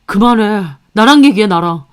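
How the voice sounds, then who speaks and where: Woman voicing a cornered husband, defensive, mumbling, evasive, smooth tone. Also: mid-range voice, lively intonation, moderate pace, natural voice.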